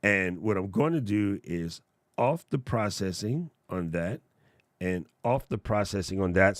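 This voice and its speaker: A man hosting a casual livestream, demonstrating gear in a playful, jokey way and chatting with viewers in a naturally loud voice, close on a studio mic. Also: lowish voice, dry recording. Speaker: tech reviewer livestream host. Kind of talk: casual livestream product demo. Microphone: studio broadcast mic up close.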